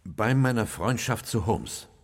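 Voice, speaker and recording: An actor playing a loyal, earnest gentleman doctor, with literary, expressive delivery in a radio mystery drama. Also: on the low side, a little slow, slightly rough, dry recording, heavily acted. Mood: tense, wary. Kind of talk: radio drama narration and dialogue.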